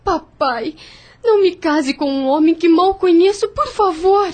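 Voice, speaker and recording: An actress playing a meek, anxious young farmer's daughter in a radio drama, speaking formally and earnestly. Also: female voice, extremely high pitch, lively intonation, measured pace. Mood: distressed, pleading.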